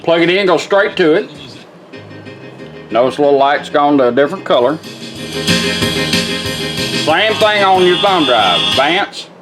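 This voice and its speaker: A man's voice: a little high, animated, a little slow, pauses often. A man giving a casual, hands-on product demonstration in a plainspoken, folksy way. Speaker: car radio restorer demonstrating a product. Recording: music playing behind the voice.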